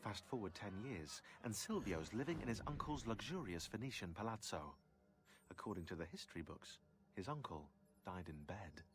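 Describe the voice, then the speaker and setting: A man's voice: on the low side, very animated, moderate pace. An actor voicing a wry, sarcastic young male historian who narrates a game's database entries. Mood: sardonic. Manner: dry, sarcastic storytelling.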